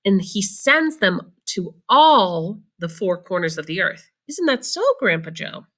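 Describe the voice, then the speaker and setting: A woman's voice: mid-range voice, sing-song, a little slow, bright, forceful tone, full character voice. A woman voicing an emphatic, encouraging old grandmother in a children's storybook. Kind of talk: children's storybook read-aloud.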